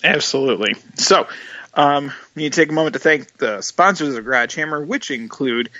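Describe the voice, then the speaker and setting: Casting note: male co-host, bright and tense voice, dull lo-fi mic. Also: a little high, lively intonation, brisk, no room sound. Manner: joking, with many fillers. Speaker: podcast co-host. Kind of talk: casual podcast chat.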